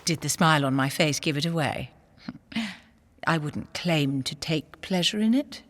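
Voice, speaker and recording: A woman's voice: sits low, animated, measured pace. A woman playing a smug, aristocratic dowager baroness in an audio drama, arch and mockingly polite, in a reverberant space. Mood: smug, amused. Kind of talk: scripted audio drama acting. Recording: long reverberant hall.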